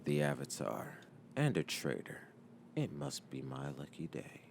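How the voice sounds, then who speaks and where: A man voicing a cold, menacing naval commander in an animated adventure story, quiet and deliberate. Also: rumbling low, creaky, a little hiss or hum, voice pushed down, heavily acted. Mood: smug menace.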